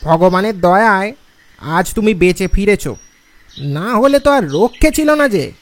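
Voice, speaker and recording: Man playing a slow-talking, grave village man. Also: sits high, expressive. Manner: measured, knowing, admonishing. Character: grave, admonishing village man who knows local lore. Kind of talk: dramatised audio-story dialogue. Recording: music and birdsong behind the voice.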